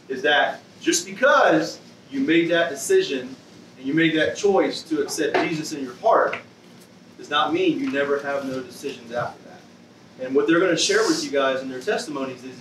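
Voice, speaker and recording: A middle-aged man, a loud in-your-face youth pastor, introducing a guest speaker to the kids. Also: on the high side, a little hiss or hum. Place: dim church youth room with an audience. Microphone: far camera. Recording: roomy hall sound from a distance.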